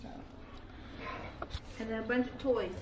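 A young woman chatting casually at home, soft-spoken and trailing off with lots of "like". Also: on the high side, steady tone, a little slow, echoey room, noisy background. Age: young adult. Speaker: vlogger's wife. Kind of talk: casual conversation. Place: house indoors.

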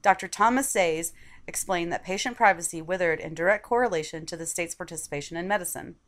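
A woman reading a scripted opinion article aloud in a measured, articulate way. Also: fairly low pitch. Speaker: article reader.

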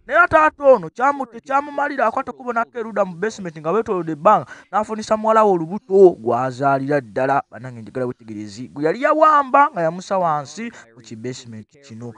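A man narrates a movie trailer at breakneck speed in a high, swooping voice. He is excitable and chuckles between lines, and he keeps coming back to the same catchphrases. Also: fast, hardly any pauses.

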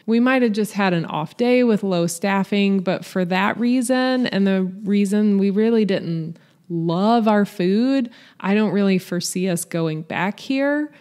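A woman's voice: medium pitch, unhurried, a little mellow. A woman giving a scripted, matter-of-fact voice-over review of a restaurant meal. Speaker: vlog host, restaurant reviewer.

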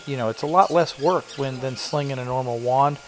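A man's voice: mid-range voice, brisk, a little hiss or hum. A middle-aged man who owns a cleaning business, giving a chatty, explanatory voiceover for his work vlog. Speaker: carpet cleaning business owner.

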